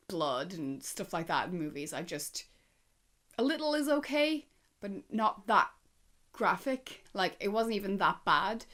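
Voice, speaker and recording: Woman, lower-pitched voice, casual vlog review, chatty, emphatic, rhetorical asides. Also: fairly bright tone. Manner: conversational, emphatic, puzzled asides and rhetorical questions. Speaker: movie review vlogger.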